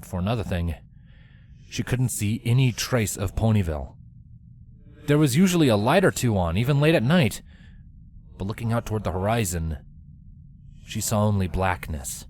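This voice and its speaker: A man with a fairly low voice narrates a written story. He reads it as a scripted third-person tale. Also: animated, normal speed, long pauses, darkish tone, slight background noise. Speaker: narrator of fan fiction.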